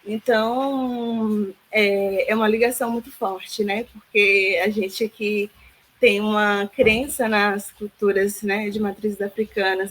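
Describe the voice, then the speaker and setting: A woman in her thirties from Salvador, Brazil, answering interview questions reflectively with many pauses and fillers. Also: fairly high pitch, a little hiss or hum, low-quality recording. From Salvador, Bahia, Brazil. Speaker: guest, philosophy teacher.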